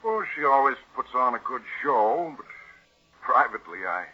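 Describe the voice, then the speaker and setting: An actor playing a polite, earnest family lawyer with a formal, emphatic manner in 1940s radio style. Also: male voice, medium pitch, sing-song, moderate pace, husky. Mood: candid, apologetic. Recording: muffled old radio recording.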